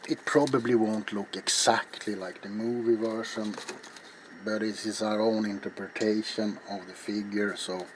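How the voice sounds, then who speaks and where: A man's voice: fairly low pitch, steady tone, normal speed. A middle-aged man hosting a casual ASMR craft tutorial, talking in a rambling, offhand, self-deprecating way as he works. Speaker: ASMR craft video host. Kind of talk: casual hands-on ASMR tutorial while working. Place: small cluttered indoor hobby workshop. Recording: noisy home recording, clear background noise.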